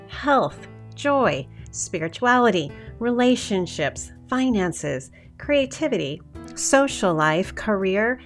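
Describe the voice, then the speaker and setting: A woman's voice: fairly low pitch, fairly bright tone. A woman hosting a health coaching podcast, reading a scripted intro and then chatting with a guest in an upbeat manner.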